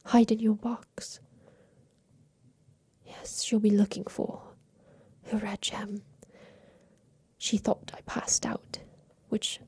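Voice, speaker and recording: Woman doing a secretive girl stuck in a haunted attic, asmr roleplay, whispery and really slow, tender with her creation. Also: mid-range voice, flat delivery, husky. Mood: wistful, secretive. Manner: slow, hushed, deliberate, repeats phrases.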